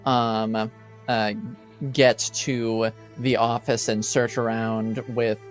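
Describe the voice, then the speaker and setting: A man's voice: on the low side, level tone, slow pace, soft background music. A man in a casual tabletop game discussion, explaining things carefully and tentatively. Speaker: tabletop roleplaying game participant.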